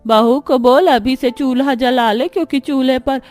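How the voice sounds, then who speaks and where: A woman's voice: sits high, normal speed, a little mellow, soft background music, pitched above the performer's own voice, lightly acted. A woman voicing a bossy, grumbling old village grandmother-in-law who commands and scolds, in a cartoon story. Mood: demanding.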